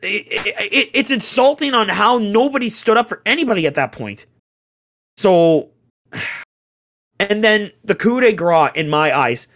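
A young man on a casual sports chat, ranting in an exasperated way with rhetorical questions, his voice going hoarse. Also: high voice, animated, unhurried, a bit bright. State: getting hoarse. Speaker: podcast co-host, hockey fan.

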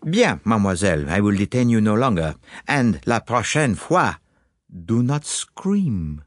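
A man's voice: fairly low pitch, big pitch swings, unhurried, no room sound, studio quality, voice pushed up. A man voicing a courtly, confident Belgian detective with a French-speaking accent and formal, precise phrasing, in an audiobook story. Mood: amused. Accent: French-speaking Belgian, put on.

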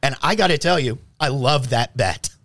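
A man's voice: mid-range voice, moderate pace, fairly bright tone, no room sound. A man co-hosting a casual sports podcast, arguing basketball bluntly and emphatically with firm opinions.

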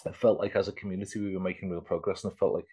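Husky-voiced man in his fifties. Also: low-pitched, level tone, racing, mellow. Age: 50s. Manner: rambling, many restarts and repeated words. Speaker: artist guest. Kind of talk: casual interview chat.

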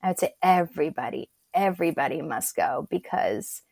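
A woman from Turkey talks as an interview guest, reflective and encouraging. Her voice sits a little low and carries a gravelly fry, and the sound is close and dry. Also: moderate pace, darkish tone, no echo. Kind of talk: casual interview talk.

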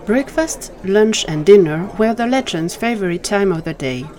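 A woman's voice: medium pitch, animated, moderate pace, mellow. A woman reading scripted fiction as a storytelling narrator. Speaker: narrator of fan fiction audio drama.

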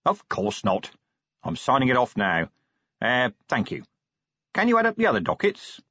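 Man playing a gruff, weary police commander. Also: medium pitch, huge pitch swings, normal speed, pushed and bright, no room sound, lo-fi sound, exaggerated voice. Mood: dry, resigned. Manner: growling, blunt, demanding.

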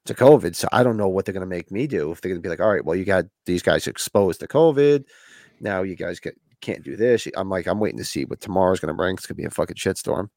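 A man co-hosting a podcast in casual chat, gravelly and smoky from a recent flu, laid-back and swearing casually. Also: low voice, animated, quick pace, a little mellow, no echo, clean studio sound. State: getting over a flu. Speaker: podcast co-host.

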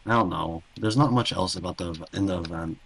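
Male co-host for gaming talk. Lower-pitched voice, informal bantering conversation. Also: fast talker, gravelly, a little hiss or hum. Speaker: podcast co-host. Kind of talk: casual chat about a video game.

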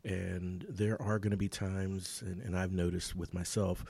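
A man hosts a casual interview with a very deep voice. He is supportive and agreeable, with frequent "uh" fillers and short affirmations. The sound is dry and close. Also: little pitch movement, unhurried, gravelly, darkish tone, slightly rough, no room sound. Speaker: podcast host.

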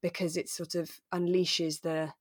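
A woman co-hosting a podcast, talking through a drama in a relaxed, thoughtful, explanatory way. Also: fairly low pitch, a little slow, dry recording, clean studio sound. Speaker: podcast co-host. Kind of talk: relaxed conversational discussion of a radio drama.

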